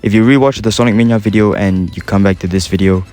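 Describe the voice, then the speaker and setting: A man's voice: fairly low pitch, steady tone, quick pace, darkish tone. A young man with a Singaporean accent, giving an enthusiastic, casual commentary voice-over with slang and swearing. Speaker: YouTuber ranking game music. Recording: faint music behind the voice.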